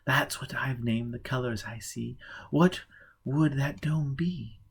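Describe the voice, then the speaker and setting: A man reading a story aloud, voicing an aloof highborn lady in high, breathy whispers that turn dreamy and disdainful. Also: slow, full character voice. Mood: dreamy, mocking. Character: aloof highborn blind lady, rapt and mocking.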